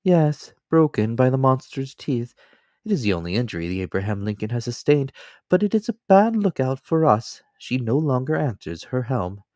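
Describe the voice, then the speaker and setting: A man voicing a young manservant in an audiobook, unflappably calm, polite, formal and deferential. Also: medium pitch, moderate pace, no room sound, clean studio sound, pitched above the performer's own voice, close to an everyday voice. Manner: polite, formal, addresses master in third person. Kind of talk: dramatic audiobook character reading.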